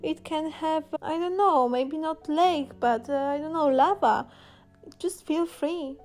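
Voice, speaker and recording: A woman's voice: high-pitched, measured pace, darkish tone, faint music behind. A young woman with a Polish Slavic accent, an art teacher giving a casual, chatty voice-over for a painting video. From Poland. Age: young adult.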